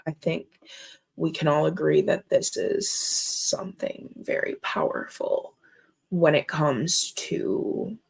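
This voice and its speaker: A woman from Minnesota hosting her own show, speaking with deep emotion in halting, earnest commentary. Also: vocal fry, breathy edge. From Minnesota, USA. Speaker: host. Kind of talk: emotional personal commentary vlog.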